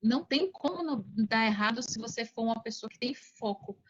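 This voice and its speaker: A woman's voice: on the high side, lively intonation, fairly quick, breathy edge. A Brazilian woman giving casual motivational advice as an interview guest, emphatic and repeating key phrases over a muffled call. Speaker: interview guest, digital entrepreneur. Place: remote video call.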